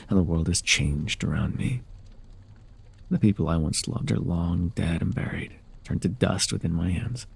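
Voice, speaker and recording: A man performs a forgotten god of fantasy audio drama, sorrowful and alone. The voice sits very deep, full of heavy gravel and worn down to a husky breath. The words come haltingly, as if brooding aloud. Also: a little mellow, exaggerated voice. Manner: slow, halting, brooding delivery with sighs. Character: forgotten lonely male former god, sorrowful and wounded. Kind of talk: ASMR audio roleplay monologue.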